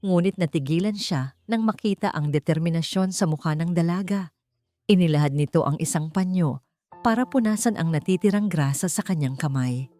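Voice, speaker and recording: A woman's voice: lowish voice, normal speed, warm tone, dry recording. A woman reading a scripted love story as a dramatic narrator. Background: faint music.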